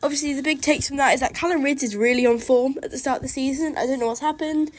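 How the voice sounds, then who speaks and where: A woman's voice: high voice, fast. Congested woman hosting a podcast. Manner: chatty, enthusiastic darts fan. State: congested from a cold. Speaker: podcast host. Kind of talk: solo podcast recap. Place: outdoors.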